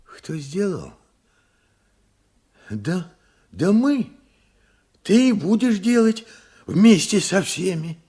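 Husky, animated old working father. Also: sits high, very slow, long pauses, full character voice. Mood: earnest. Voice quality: husky, creaky voice. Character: old working-class father, earnest and proud. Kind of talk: Soviet radio play acting.

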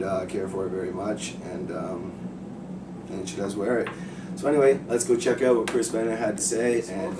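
A man in his thirties narrates his vlog in a low, rather flat voice, rambling and restarting with plenty of fillers. He sits well back from the camera mic in an echoey room. Loud background noise runs under everything. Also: recorded from a distance. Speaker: video host. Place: shop interior and home office.

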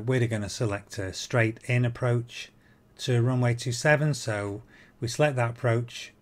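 A man in his fifties explaining software in an informal tutorial, hesitant and halting, with frequent ums. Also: mid-range voice. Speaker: presenter of a flight simulator tutorial series.

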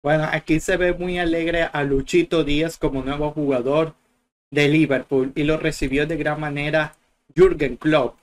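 A man's voice: fairly high pitch, steady tone, normal speed. A young man from Colombia hosting a football channel, commenting casually on clips from a small room at his desk. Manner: chatty, rambling with restarts.

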